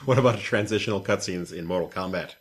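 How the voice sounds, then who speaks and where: A man's voice: low voice, fast. A man hosting a podcast, chatting casually with wry, mocking opinions and questions. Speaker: podcast host.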